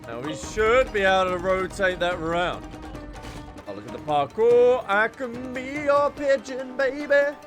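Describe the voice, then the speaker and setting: A man's voice: high voice, a little slow. A man doing live game commentary as a streamer, playful and exclamatory, often repeating little catchphrases. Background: loud game music.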